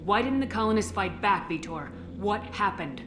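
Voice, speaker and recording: A woman's voice: mid-range voice, animated, moderate pace, noisy background, lightly acted. A woman playing a resolute military commander in a video game, direct and controlled. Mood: concerned. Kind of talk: video game cutscene acting.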